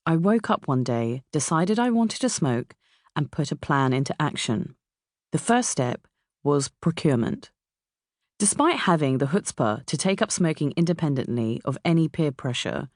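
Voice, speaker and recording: A middle-aged woman from England with a southern English accent reads a memoir as its author, in a measured, expressive way. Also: low voice, no echo, studio quality. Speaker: author narrating own audiobook. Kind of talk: scripted memoir narration. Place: dry recording studio.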